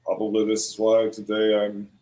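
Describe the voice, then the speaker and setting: A man's voice: lowish voice, completely flat delivery, moderate pace, warm tone, reverberant. A man from Croatia, a children's book author, answering a panel question hesitantly, with many fillers and restarts.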